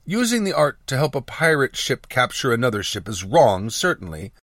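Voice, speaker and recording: Man acting as male mage teacher, evasive, persuasive, lecturing, expressive intonation, dry fantasy audiobook. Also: medium pitch, normal speed, dry recording.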